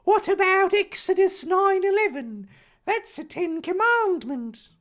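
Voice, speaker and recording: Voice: very high voice, measured pace, fairly bright tone, pitched above the performer's own voice. A performer voicing a naive, earnest little boy in a story, asking innocent, tentative questions. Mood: innocent, skeptical.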